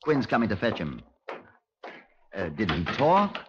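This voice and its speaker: An actor playing a dry, authoritative middle-aged Scotland Yard inspector with a British accent in a 1950s radio drama. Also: male voice, lowish voice, huge pitch swings, unhurried, noisy background. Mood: brisk, authoritative. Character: measured, wry, authoritative male Scotland Yard police inspector. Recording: dull, lo-fi 1950s radio recording.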